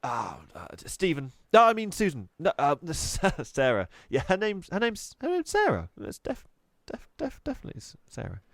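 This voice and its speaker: A man's voice: on the high side, wildly swooping pitch, moderate pace, fairly bright tone, no echo, pitched above the performer's own voice, exaggerated voice. A man voicing a nervous young schoolgirl who stammers and hesitates, in a dry recording. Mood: nervous girlish.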